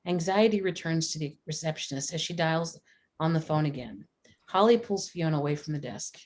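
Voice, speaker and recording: A woman with a low voice reads screenplay stage directions as a narrator. Her delivery is scripted narration, as at a table read of a drama. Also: a little fast, a little mellow, slight background noise.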